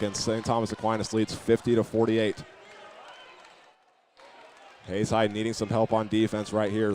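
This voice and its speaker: A man's voice: lowish voice, a little mellow. A man calling live basketball play-by-play from an indoor arena, occasionally stumbling over or repeating a word. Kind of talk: live sports commentary. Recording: noisy gym ambience behind voice.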